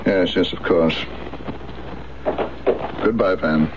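An actor playing a deferential doctor in a radio drama. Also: male voice, deep voice, takes it slowly. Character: deferential male doctor escorting a patient. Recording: muffled, noisy 1970s radio recording.

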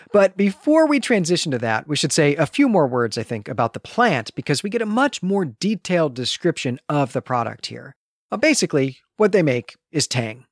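A man hosting a podcast, explaining a book in a measured, discursive way. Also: fairly high pitch, no room sound, clean studio sound. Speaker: podcast host. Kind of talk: literary discussion.

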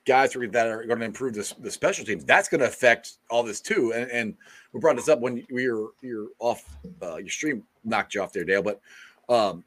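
A man's voice: mid-range voice, animated, a little fast, fairly bright tone. A man hosting a sports podcast, asking questions and chuckling as he talks. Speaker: podcast host. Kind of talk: casual podcast chat.

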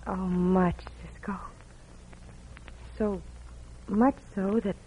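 An actress playing a shy, smitten young small-town woman in an old Western radio drama, speaking in a breathy, coy way. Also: medium pitch, fairly even intonation, drawn-out words, a little hiss or hum. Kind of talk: 1940s-50s Western radio acting. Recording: muffled vintage radio recording.

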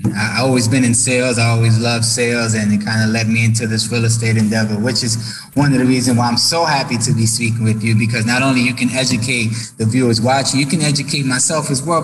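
Laid-back Bronx podcast host. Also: male voice, mid-range voice, fairly even intonation, quick pace, soft background music, poor audio. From South Bronx, New York. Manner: laid-back, hype-man talk, says "you know" and "man" often. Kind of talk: casual interview chat.

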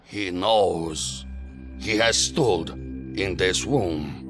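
A man's voice: low-pitched, drawn-out words, music in the background. A man playing a stern, zealous leader of a secret faith group, solemn and commanding, in an audio drama. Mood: fervent, solemn. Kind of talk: science fiction audio drama acting.

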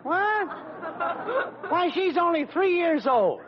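A smug, eager young helper in a 1940s radio comedy. His voice is extremely high and lively. An audience is heard on the dull old recording. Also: slow, a crowd in the background, full character voice. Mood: mocking. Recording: muffled vintage radio, studio audience.

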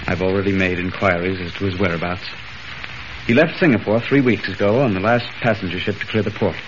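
An actor playing a solemn, resigned hospital doctor, measured in the manner of 1940s radio drama. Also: male voice, deep voice, steady tone, close to an everyday voice. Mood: grave. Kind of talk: 1940s radio serial acting. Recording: noisy, muffled vintage radio recording.

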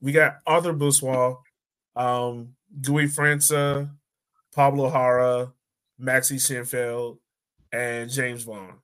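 A man's voice: mid-range voice, little pitch movement, takes it slowly, fairly bright tone, clear, resonant tone. A man hosting a sports podcast in a casual, conversational way. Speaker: podcast host.

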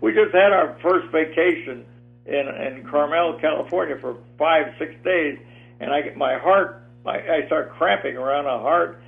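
Gravelly-voiced man near ninety, rambling phone-in guest, tinny line. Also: a little high, moderate pace. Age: 80s. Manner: rambling, repeats words, chatty. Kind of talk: casual phone interview. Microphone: telephone.

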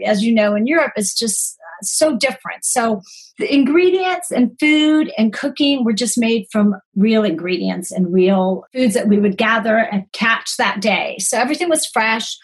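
A woman's voice: a little high, breathy edge, rough recording. A woman, telling her own story conversationally as an interview guest. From born in Greece, grew up in the United States. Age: 40s. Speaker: podcast guest, holistic nutritionist.

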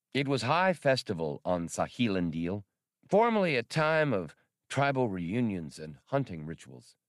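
A man narrating a science fiction novel as an audiobook reader, measured and expressive. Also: deep voice, no echo, studio quality. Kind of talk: scripted narration.

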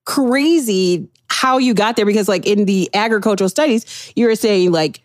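A woman's voice: mid-range voice, moderate pace, no echo, clean studio sound. A woman hosting a podcast interview, effusive and full of praise for her guests. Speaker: podcast host.

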